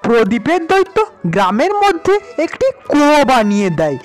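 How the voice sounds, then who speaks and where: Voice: medium pitch, sing-song, moderate pace, faint music behind. A narrator reading a scripted fairy tale for a cartoon.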